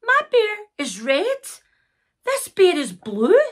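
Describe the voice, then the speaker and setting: A woman voicing an indignant, plaintive little girl in a children's picture book reading, with loud, drawn-out protests. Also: very high-pitched, huge pitch swings, pitched above the performer's own voice, exaggerated voice.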